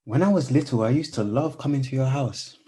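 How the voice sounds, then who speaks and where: An actor playing an earnest, polite young family friend in a radio play, speaking in a hesitant, apologetic, wistful way. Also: male voice, medium pitch, fairly quick, natural voice. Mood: nostalgic. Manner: hesitant, apologetic, soft-spoken.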